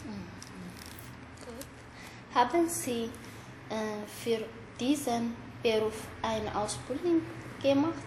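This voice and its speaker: Woman, slightly high voice, halting formal questions, echoey room with faint noise. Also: darkish tone. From Eritrea. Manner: halting, formal, learner-like speech. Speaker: interviewer. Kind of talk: scripted interview questions.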